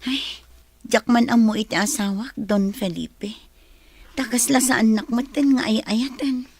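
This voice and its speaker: A woman's voice: on the high side, measured pace, darkish tone, slightly rough. A woman playing a feisty, scolding but loyal village wife in an Ilocano radio comedy drama. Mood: firm, defensive.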